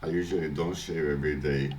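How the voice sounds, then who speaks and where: An older man with a congested, hoarse voice from illness, talking in a halting casual video diary at home, often chuckling. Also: rumbling low, steady tone, darkish tone, a little hiss or hum. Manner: halting, many restarts and fillers, chuckles often. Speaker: vlogger. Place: at home, early morning.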